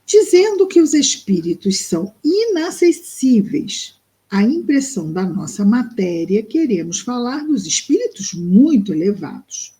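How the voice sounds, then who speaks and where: A woman with a Brazilian Portuguese accent reads and comments on a book in a calm, reflective way. She often pauses and uses fillers as she works through each idea. Her voice is mellow and dark. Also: a little high. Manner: thoughtful, explains slowly with pauses and fillers. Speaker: study group presenter. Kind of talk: reading and explaining a spiritist book.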